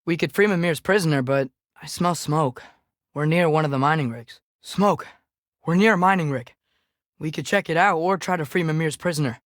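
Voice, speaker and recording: Young male actor as teenage boy adventurer, American accent, lighter high pitch, curious, earnest, casual, video game dialogue, dry studio sound. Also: moderate pace, a bit bright, no echo, close to an everyday voice. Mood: inquisitive, matter-of-fact. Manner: youthful, casual, questioning. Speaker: video game companion character. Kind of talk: scripted game dialogue, conversational.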